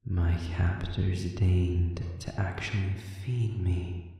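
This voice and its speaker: A performer voicing a sadistic male demon prisoner in an audio roleplay, speaking formally and sardonically with a taunting, manipulative silkiness that often sinks toward a breathy whisper. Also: sits low, fairly even intonation, slow pace, warm tone, heavily acted. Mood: silky, sardonic. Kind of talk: slow audio roleplay monologue addressed to listener. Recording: cavernous echoing reverb, distant and muffled.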